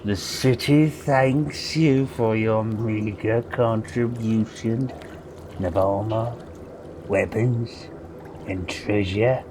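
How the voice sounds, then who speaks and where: A man's voice: on the low side, steady tone, very slow, background noise. A man voicing a cruel, darkly armored noble in an audio drama, with a pompous, mock-gracious and menacing delivery. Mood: smug threatening. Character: darkly armored noble, sneering, bellowing, cruel male authority. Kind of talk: scripted audio drama acting.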